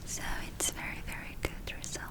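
A woman whispering breathily in a soothing, halting way, playing a gentle spa witch in an ASMR roleplay. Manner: slow, halting, soothing reassurance. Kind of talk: ASMR roleplay, personal attention.

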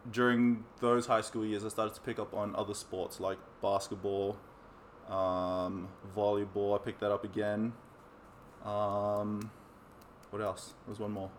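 A man's voice: fairly low pitch, a little hiss or hum. A man, chatting easily as an interview guest and coach, with frequent ums. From New Zealand. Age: 20s. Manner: easygoing, many ums, relaxed laughs. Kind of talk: casual interview chat.

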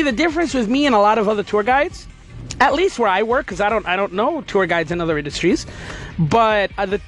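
A man hosting a podcast, talking casually and emphatically in an energetic, motivational way. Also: very high-pitched. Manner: emphatic, energetic, pep-talk delivery. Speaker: podcast host.

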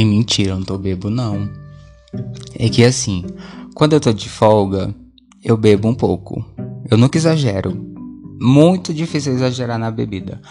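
A man's voice: lowish voice, darkish tone. A Brazilian man chatting casually and alone as a podcast host, rambling conversationally and correcting himself as he goes. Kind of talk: casual solo chat, audio diary. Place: at home, alone.

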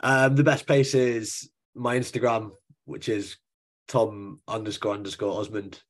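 Guy co-hosting a podcast, bit croaky, chatty and self-deprecating. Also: on the low side, little pitch movement, unhurried. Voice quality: slightly croaky, husky voice. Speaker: podcast co-host. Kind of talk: casual podcast chat.

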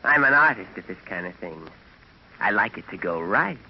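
A fussy american firebug crook, lowish voice, dull old forties radio recording. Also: male voice, expressive, moderate pace, a little hiss or hum. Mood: calm, fussy. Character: fussy, fire-obsessed male arsonist for hire. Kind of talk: 1940s radio drama acting.

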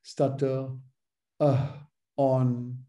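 A man's voice: mid-range voice, level tone, extremely slow, dark tone. A middle-aged man hosting a podcast, earnest, sometimes stuttering on purpose. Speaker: podcast host. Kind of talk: conversational interview.

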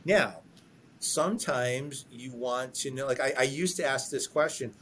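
A man with an average-pitched voice explains interview practices as a guest lawyer on a podcast, with a faint background noise behind him. He talks in an explanatory, conversational way, stumbling over repeated words as he builds his points. He often checks in with a quick "right?". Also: fairly bright tone.

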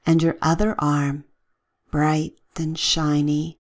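A woman leading a guided relaxation with gentle, soothing, measured instructions and long pauses. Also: deep voice, completely flat delivery.

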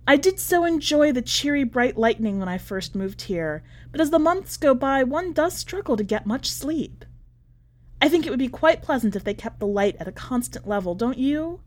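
A woman voicing a polite, chatty, quietly unhinged prisoner writing a letter, in a prim manner, as a dramatic story reading. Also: high-pitched, animated, moderate pace. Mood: genteel, chatty. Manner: prim, ornate, politely understated phrasing.

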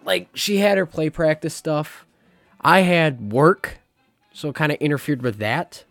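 A young adult man hosting his own podcast, talking in a rambling, confessional way, his voice a little hoarse as it recovers. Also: on the high side, unhurried, fairly bright tone, no room sound. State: slightly hoarse, recovering lost voice. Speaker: podcast host. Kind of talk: casual solo podcast talk. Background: faint jazz music.

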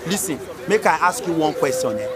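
Excitable Nigerian male rumour-spreader for radio drama; animated, insistent, busy crowd behind. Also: a little high, a little fast. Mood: argumentative. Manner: argumentative, insistent, repeating himself. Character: excitable stubborn village man spreading market rumours.

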